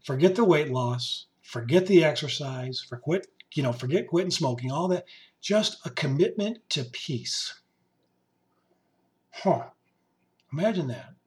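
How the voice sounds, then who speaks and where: A man hosting his podcast in casual monologue, his voice hoarse and raspy, rambling and joking as he talks to his musician. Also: on the high side, lively intonation, unhurried, darkish tone. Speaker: podcast host. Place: basement home studio.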